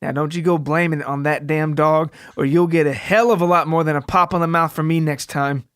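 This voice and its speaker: A man's voice: on the high side, fairly even intonation, brisk, fairly bright tone, smooth, no echo, natural voice. A man voicing a scruffy, bullying father in a narrated story, folksy, mocking and threatening. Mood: menacing. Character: scruffy, menacing, hard-drinking father, bullying and scornful.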